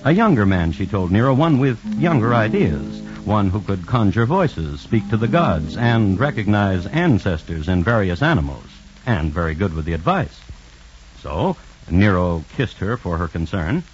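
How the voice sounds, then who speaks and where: A man narrating a scripted old-time radio drama in a wry, deadpan manner. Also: fairly low pitch, normal speed. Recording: dull old radio recording, music behind.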